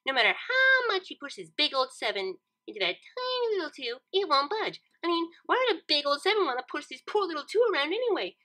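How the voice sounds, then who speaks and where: A woman's voice: high voice, big pitch swings, brisk, few pauses, bright, forceful tone, voice pushed up, full character voice. A woman voicing a hyperactive, bubbly young female cartoon pony, chirpy and childlike in the way she gushes. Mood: fretful. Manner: chirpy, gushing, childlike, exclaiming. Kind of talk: cartoon fan fiction character reading.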